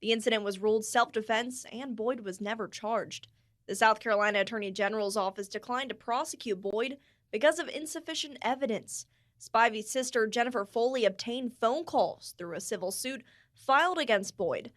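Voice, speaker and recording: A woman's voice: a little high, normal speed. A woman narrating a scripted TV news report as a reporter.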